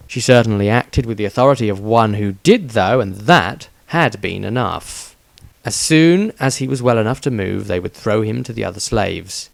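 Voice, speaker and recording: A man's voice: fairly low pitch, no echo. A man narrating a scripted fantasy story in a dramatic storytelling style. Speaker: narrator and author.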